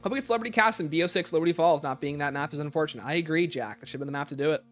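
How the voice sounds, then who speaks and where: A man's voice: fairly high pitch, rapid-fire, a bit bright, faint music behind. A man hosting a livestream, casually reading out questions and answering them with emphatic opinions.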